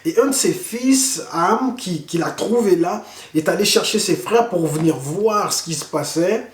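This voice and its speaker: A man, a pastor, giving an earnest online sermon and slowing down to stress key words. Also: sits high, animated. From Canada. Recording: echoey room.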